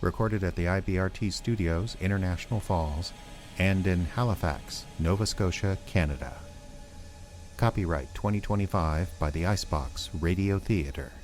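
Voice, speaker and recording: A man's voice: low voice, moderate pace, a little mellow. A man reading scripted closing credits as the announcer of an audio drama. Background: music.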